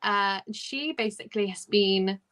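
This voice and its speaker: A woman's voice: mid-range voice, unhurried, fairly bright tone. A woman hosting a casual book discussion on a livestream, talking in a chatty, informal way. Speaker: host of a book discussion live show.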